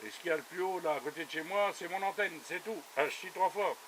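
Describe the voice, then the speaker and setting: A man's voice: a little high, fast talker, a bit bright. A man talking casually as a radio operator over a two-way radio, chatty and full of little verbal tags. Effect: two-way radio transmission. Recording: noisy buzzing radio transmission.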